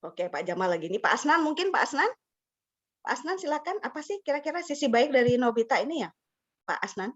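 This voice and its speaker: Woman presenter, bubbly workshop leader, slightly high voice, lo-fi video-call sound. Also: sing-song, quick pace. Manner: chatty, mixes English words, laughs often. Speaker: webinar presenter for teachers. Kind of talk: lively online teacher-training talk. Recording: slightly dull lo-fi call audio.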